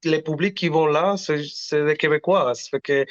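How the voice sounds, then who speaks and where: A man's voice: fairly high pitch, dry recording. Earnest man. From Latin America. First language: Spanish. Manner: earnest, halting, frequent restarts. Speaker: singer, interview guest. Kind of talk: casual interview talk. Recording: dull lo-fi audio.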